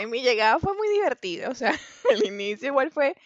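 A woman's voice: sits high, big pitch swings, moderate pace, no echo, lo-fi sound. A woman co-hosting a podcast in casual chat, laughing often and chiming in with encouraging remarks. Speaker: podcast co-host.

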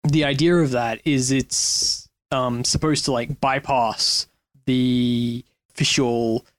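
Quick, filler-heavy man, dry sound. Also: mid-range voice, fairly even intonation, no room sound. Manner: speaks in quick bursts, heavy use of "like" and "um". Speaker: podcast guest. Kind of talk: casual conversational discussion.

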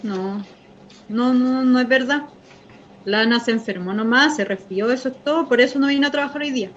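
A woman playing a defensive, irritable young Chilean woman with a sharp tongue in a naturalistic radio drama. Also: on the high side, fairly quick, muffled recording, slight background noise, close to an everyday voice. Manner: curt, irritable.

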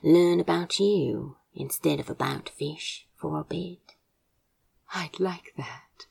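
Woman acting shy male lover, low husky whisper, creaky, halting, tender, intimate audio drama. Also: a little mellow, exaggerated voice. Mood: fond, hushed. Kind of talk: intimate audio fiction dialogue.

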